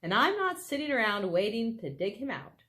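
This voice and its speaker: A woman's voice: on the high side, big pitch swings, moderate pace, sharp tone. A woman reading a children's storybook, voicing a stubborn, scheming male farmer. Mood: grimly determined. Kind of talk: children's storybook read aloud.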